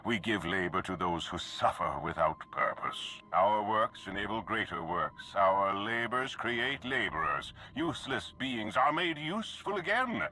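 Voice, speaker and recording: A man's voice: lowish voice, animated, measured pace, few pauses, bright, forceful tone. A man voicing a stern, zealous cult spokesman in a video game, with a declamatory manner. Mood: solemn zeal.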